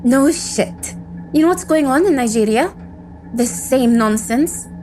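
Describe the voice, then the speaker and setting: A woman's voice: high voice, animated, measured pace, darkish tone, a little hiss or hum. A woman playing an embittered, defiant agent in an audio drama, with a world-weary, intense, sardonic delivery. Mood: sardonic, tense. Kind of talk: scripted audio drama monologue and dialogue.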